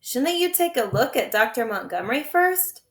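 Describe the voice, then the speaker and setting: A woman's voice: sits high, sing-song, normal speed, a bit bright, voice pushed up. Stuffy male guardian, voiced by a woman reader: dismissive, condescending, echoey room. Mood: surprised, polite. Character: dismissive, weary grown man, stuffy family guardian.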